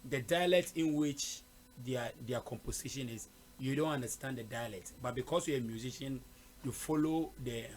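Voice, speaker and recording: A man's voice: medium pitch, moderate pace, a little hiss or hum. A man hosting a casual interview, chatty, with frequent fillers and repeated words. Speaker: host.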